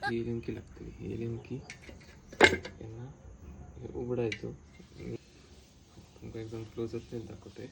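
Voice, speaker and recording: Malvani man, flat delivery, noisy recording. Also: mid-range voice, slow, vocal fry, long pauses. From Konkan, western India. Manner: informal, explaining step by step while working. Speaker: motorcycle vlogger. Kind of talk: casual hands-on restoration vlog. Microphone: handheld phone. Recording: noisy outdoor handheld phone recording.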